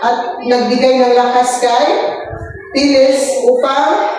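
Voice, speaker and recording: Lady teacher doing read-aloud for kids, highish voice, super echoey room, kinda far from mic. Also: takes it slowly. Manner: expressive, didactic, prompting listeners. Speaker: elementary school teacher. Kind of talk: storybook read-aloud and questions to children. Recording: echoey room, distant mic, faint noise.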